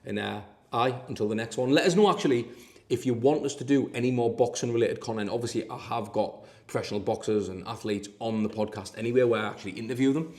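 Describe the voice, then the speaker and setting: A man with a Sunderland (Mackem) accent giving an excitable, swear-laden vlog rundown from a hotel room as a podcast host, leaning on "obviously". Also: medium pitch, fast. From Sunderland, England. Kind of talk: casual vlog commentary.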